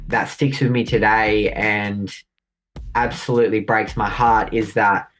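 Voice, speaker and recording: A man's voice: medium pitch, a bit bright, far from the mic. Young australian man, reflective, hesitant, fillers, a bit off-mic. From New South Wales, Australia. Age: young adult. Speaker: guest. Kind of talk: personal story in conversation.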